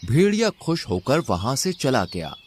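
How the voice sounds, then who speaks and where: Male storyteller, medium pitch, lively intonation, scripted cartoon fable narration, faint background noise. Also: normal speed.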